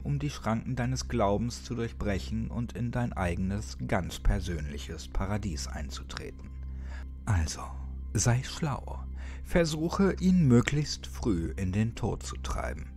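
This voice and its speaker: Man, horror audiobook narration, animated intonation, ominous, dramatic pauses, direct address, soft music underneath. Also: lowish voice.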